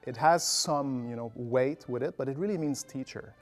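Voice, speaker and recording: A man's voice: medium pitch, normal speed. Instructive male conductor over music. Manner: instructive, explains with examples and analogies. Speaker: orchestra conductor, artistic director. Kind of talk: explanatory interview answers.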